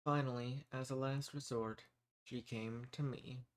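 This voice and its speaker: A man voicing an arrogant, menacing archdemon in a dramatic audio roleplay, speaking in a condescending, theatrical, unhurried manner. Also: mid-range voice, steady tone, close to an everyday voice. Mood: coolly recounting. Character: arrogant, menacing male archdemon who strikes bargains.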